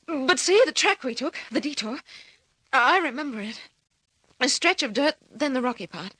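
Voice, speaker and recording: A desperate young lady in a vintage radio thriller. Her voice is very high and sharp, recorded dry. She pleads insistently, breathless and melodramatic. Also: expressive, normal speed, no room sound, heavily acted, rough recording. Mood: pleading, urgent. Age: young adult. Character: frantic, pleading young woman in distress. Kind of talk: old-time radio drama acting.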